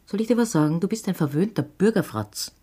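A commanding woman who runs a theatre in a radio drama. Her voice is deep and dark. She is blunt and sardonic, needling whoever she talks to. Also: animated, fast, slightly rough. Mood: blunt, scolding. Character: bossy, sardonic female theatre boss, teasing and blunt.

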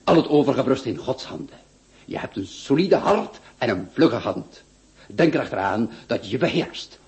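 An actor playing a genial, courtly and eloquent marquis in a radio drama. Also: male voice, mid-range voice, lively intonation, normal speed, creaky, a little mellow. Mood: jovial, encouraging. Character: genial, formal gentleman marquis acting as duel second. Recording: dull, muffled radio play recording.

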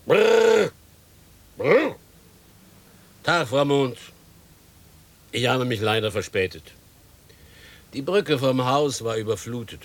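An actor playing a gruff, grumbling coachman in a German radio play, blunt but servile, with a gravelly, husky voice. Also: mid-range voice, expressive, takes it slowly, pauses often, full character voice. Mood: hearty, servile. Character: gruff, grumbling male coachman, deferential to his mistress.

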